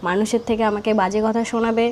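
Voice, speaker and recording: A woman's voice: fairly high pitch, flat delivery, quick pace, a little mellow, a little hiss or hum. A woman giving an earnest personal account of her own experiences.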